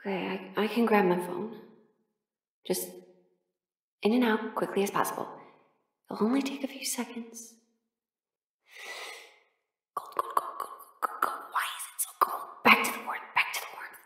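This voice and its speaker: A woman acting a sleepy, affectionate partner in an intimate audio roleplay, muttering softly with whispers and sighs. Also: medium pitch, normal speed, long pauses, echoey room, far from the mic, close to an everyday voice. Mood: drowsy, grumbling. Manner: soft, drowsy, muttering to herself with whispers and sighs. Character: sleepy affectionate partner awake in cold bed, playfully grumbling.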